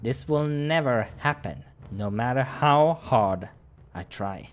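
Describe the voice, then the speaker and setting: A man's voice: mid-range voice, lively intonation, slow. A man voicing a proud, despairing lion sorcerer in a visual novel, cold and scornful, with a theatrical, menacing delivery. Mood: bitter despair.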